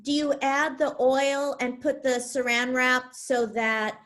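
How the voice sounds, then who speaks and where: A woman's voice: high-pitched, fairly even intonation, takes it slowly, fairly bright tone. A woman moderating a cooking session, asking polite, brief questions for the audience. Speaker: event moderator.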